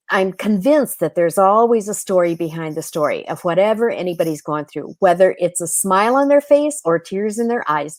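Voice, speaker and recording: A woman with a slightly low voice talks as a guest in a conversational interview. She is reflective and earnest, explaining her thoughts carefully. The sound is dry, with very little room in it. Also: dry recording.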